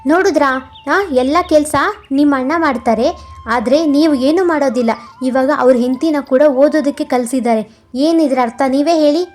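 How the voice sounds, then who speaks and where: A woman voicing a jealous, scheming young wife in a cartoon moral story, nagging and goading in a sharp, complaining manner. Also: high-pitched, moderate pace, faint music behind, close to an everyday voice.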